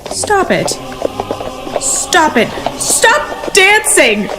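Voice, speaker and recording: A woman's voice: very high-pitched, very animated, very slow, over music, full character voice. A woman playing a scheming, starving woman runaway in an audio drama. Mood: panicked. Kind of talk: live-streamed audio drama acting.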